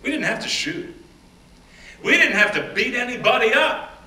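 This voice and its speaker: A man giving a first-person dramatic reading of an oral history, measured and deliberate, often dropping to hushed phrases. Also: very high-pitched, expressive. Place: stage or event room. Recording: roomy, distant mic with faint background noise.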